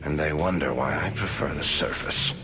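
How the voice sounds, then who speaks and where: An actor playing a gruff, grumbling dwarf adventurer in a video game. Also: male voice, a bass voice, moderate pace, over music. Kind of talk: dramatic video game acting.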